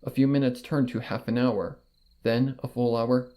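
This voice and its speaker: A man narrating a story in the first person in a steady, measured storytelling manner. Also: medium pitch.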